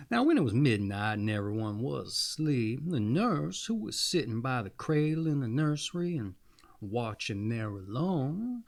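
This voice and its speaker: A man narrating a fairy tale as a storyteller, with dramatic, deliberate phrasing. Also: mid-range voice.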